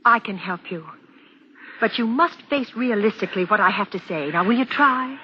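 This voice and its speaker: A woman's voice: medium pitch, expressive, normal speed, lightly acted. An actress playing a rational, insistent woman in an old radio drama, articulate, persuasive and dramatic in her delivery. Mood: firm, persuasive. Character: rational, insistent woman reasoning with a frightened man. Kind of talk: 1940s radio drama acting and narration. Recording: dull, noisy old radio broadcast.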